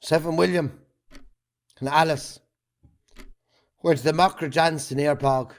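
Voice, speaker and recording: A man with an Irish accent acting a defensive, guilt-ridden farming man in an amateur drama, speaking haltingly. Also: a little high, steady tone. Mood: halting, remorseful.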